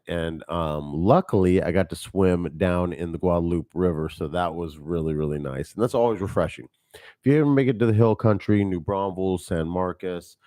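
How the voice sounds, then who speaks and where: A man's voice: sits low, warm tone, no echo. A man hosting a live podcast, rambling and chatty, talking to his viewers, at times a bit nasal, on a studio mic. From Texas. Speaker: podcast host. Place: home studio. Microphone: studio mic on a mixing board.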